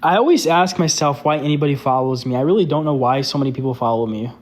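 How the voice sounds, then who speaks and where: A man's voice: medium pitch, fast. A young man chatting casually on a live stream, loose and candid, filling his talk with "like". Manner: loose and candid, heavy use of "like". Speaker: social media creator hosting a live stream.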